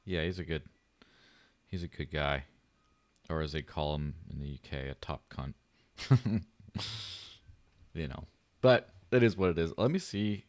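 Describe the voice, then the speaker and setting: A low-pitched man hosting a casual livestream podcast, his voice light and buttery. He rambles, jokes and pokes fun at himself. The sound is dry and close. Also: a little slow, long pauses, darkish tone, no echo. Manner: joking, rambling, self-deprecating. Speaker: podcast host.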